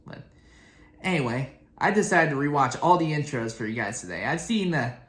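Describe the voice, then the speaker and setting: A man hosting a reaction video, giving casual, rambling commentary in a hesitant, halting, informal way. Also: a little high, animated. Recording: roomy echo, loud show music behind.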